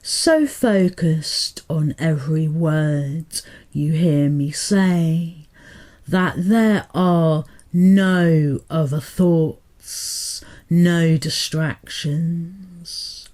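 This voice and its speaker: A woman hypnotherapist guiding a meditation in a soothing, deliberate manner, pausing between short phrases. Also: low voice, a little mellow. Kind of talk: guided meditation hypnotherapy narration.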